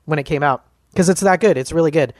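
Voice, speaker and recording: A man's voice: on the high side, quick pace, dry recording, clean studio sound. A man co-hosting a gaming podcast in casual chat, upbeat and full of "like" and "um" fillers. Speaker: podcast co-host.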